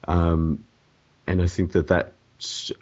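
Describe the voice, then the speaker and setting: A man with a cold and a croaky, hoarse voice, answering interview questions hesitantly with many ums and restarts. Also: deep voice, level tone, slow, a little mellow. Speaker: template developer guest. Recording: dull lo-fi audio.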